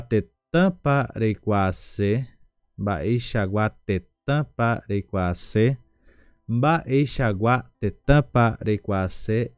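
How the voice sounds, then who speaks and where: A man with a Brazilian Portuguese accent teaching an online language lesson, slowly repeating each word syllable by syllable. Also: on the low side, a little mellow, no echo, studio quality.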